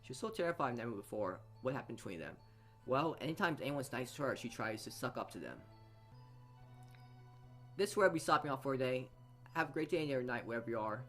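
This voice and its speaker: A man's voice: medium pitch, lively intonation, fast, pauses often, a bit bright. A man reading a visual novel aloud as a let's play host, stumbling over words and rereading phrases as he goes. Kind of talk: reading narration aloud with side comments. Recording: music behind the voice. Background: game music.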